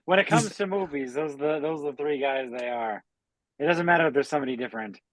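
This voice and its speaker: A man's voice: fairly high pitch, fast, a bit bright, no room sound, rough recording. Laid-back podcast guy, dry sound. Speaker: podcast co-host. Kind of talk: casual podcast banter.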